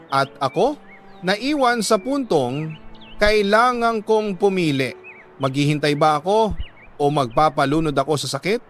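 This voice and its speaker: A Filipino man reads a scripted first-person love story in an earnest, sentimental way, with deliberate dramatic pauses. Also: on the high side, a bit bright. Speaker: radio-style story narrator reading a listener's letter.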